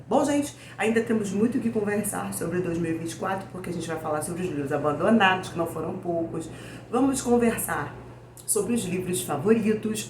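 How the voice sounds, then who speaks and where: A Brazilian woman hosting a book vlog. She chats casually with her viewers in a weak, hoarse voice from a cold. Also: mid-range voice, darkish tone. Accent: Brazilian Portuguese. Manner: chatty, addresses viewers as "gente". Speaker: book channel host. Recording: echoey room with faint noise.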